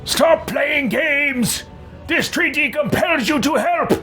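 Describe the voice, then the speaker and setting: A man voicing an indignant, demanding fantasy warden, forceful and declamatory, as game roleplay. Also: very high-pitched, slow, soft background music, pitched above the performer's own voice, full character voice. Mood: furious. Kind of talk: amateur roleplay acting over a game.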